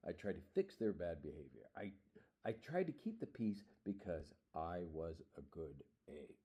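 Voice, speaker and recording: Low-voiced man, playfully reading a picture book. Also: very animated, normal speed, mellow, husky. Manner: rambling, playful, goofy. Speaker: reader of a children's picture book. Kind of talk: informal read-aloud with ad-libbed asides.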